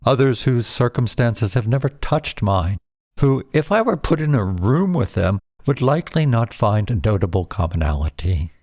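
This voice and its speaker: A man reading his own poem aloud as a scripted recitation, in a solemn, measured manner with deliberate phrasing. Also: mid-range voice, very animated, darkish tone, dry recording, studio quality.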